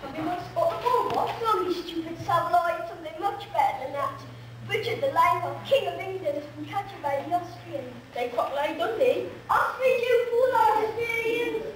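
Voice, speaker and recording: A young performer in a school pantomime shouts a villain's lines in a sing-song way. The voice is very high. It is caught by a distant camcorder in an echoing hall, with clear hiss underneath. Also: female voice, expressive, moderate pace. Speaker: child actor in a school pantomime.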